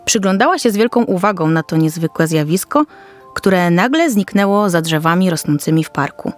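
A woman's voice: fairly low pitch, lively intonation, normal speed, faint music behind. Woman narrating a children's fairy tale. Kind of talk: scripted children's story narration.

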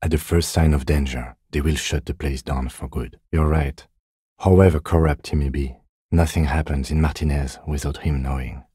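An actor playing a composed, dry police lieutenant in a video game, speaking softly in a measured, restrained deadpan with a slightly husky voice. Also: male voice, a bass voice, no room sound. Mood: calm, dry. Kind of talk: video game dialogue acting.

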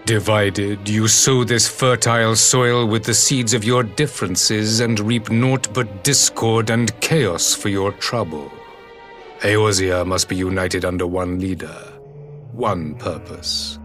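Imperious video game emperor: lowish voice, cold, formal, unhurried delivery. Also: male voice, steady tone, fairly bright tone, faint music behind. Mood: disdainful. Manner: formal, haughty, measured.

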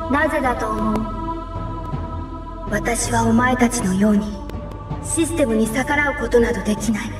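A woman voicing a solemn, imperious machine ruler in a video game, with archaic, deliberate phrasing and a wistful resignation. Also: fairly high pitch, close to an everyday voice. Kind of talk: dramatic Japanese video game cutscene acting. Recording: echoey, distant, music behind.

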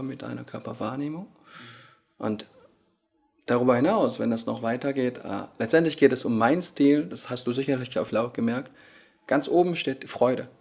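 A man in his thirties, Korean-born, who speaks with many pauses and fillers as a yoga teacher answering interview questions, recorded indoors on a handheld recorder. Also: mid-range voice, gravelly, a little mellow, slightly rough. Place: hotel lounge at a conference, indoors. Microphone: handheld recorder held by the interviewer.

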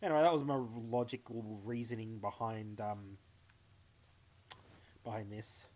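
A man's voice: lowish voice, unhurried, a little mellow, a little hiss or hum. A young Australian man chatting playfully to himself while streaming a game live from home. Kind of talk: live gameplay commentary.

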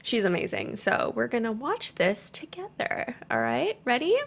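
A young woman giving casual, gushing and expressive reaction commentary as a gaming video host, recorded on a studio mic in a small home room. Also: on the high side, normal speed, gravelly. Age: young adult. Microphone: boom-arm studio mic at desk.

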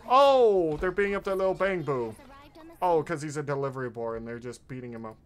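A man's voice: medium pitch, fast. A man hosting a reaction stream, giving casual, exclamatory commentary as he watches.

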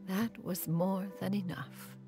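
A woman's voice: mid-range voice, flat delivery, takes it slowly. A woman voicing a dignified immortal crane in human form, a game mentor with formal, archaic speech. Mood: solemn, fond. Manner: formal, archaic, refers to herself as "one". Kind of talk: video game dialogue acting. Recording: game music underneath.

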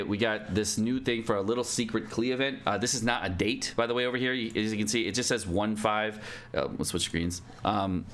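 A man's voice: lowish voice, fairly bright tone, a little hiss or hum. A young adult man giving casual gaming news commentary as a streamer, informal and slangy in manner. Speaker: game streamer. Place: indoor home streaming setup.

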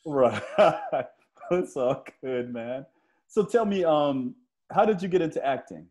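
A man's voice: fairly high pitch, animated, takes it slowly, a little mellow. A man in his fifties interviewing casually over a video call, easygoing and brief in his replies. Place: living room or office, indoors, on a video call. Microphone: earphone mic on a video call.

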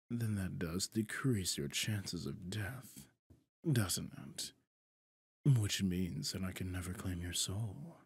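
A possessive demon lord for an ASMR roleplay, performed by a man. His voice is very deep and gravelly, close and dry. He speaks with pompous, smug deliberation, sinking into breathy half-whispers. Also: dry recording, full character voice. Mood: smug, sly. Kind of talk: scripted ASMR roleplay monologue.

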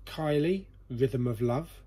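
A man's voice: mid-range voice, animated, takes it slowly, mellow, slight background noise. A man hosting a casual vlog in a jokey, chatty way as he sorts through a collection. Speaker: vlog host. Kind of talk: casual chat while going through a collection. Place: indoor storeroom full of boxes.

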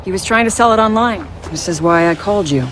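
A woman's voice: lively intonation, quick pace, natural voice. A woman playing a stern, pragmatic special-operations leader in a video game cutscene, speaking in a serious, matter-of-fact way. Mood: briefing. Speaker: military team leader. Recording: music and vehicle rumble behind. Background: dramatic music, vehicle rumble.

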